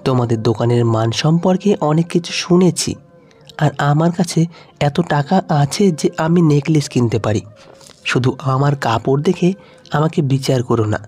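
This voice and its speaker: A man's voice: fairly high pitch, unhurried, a little mellow, lightly acted. A man voicing a humble, pleading old mother in a story, meek and polite.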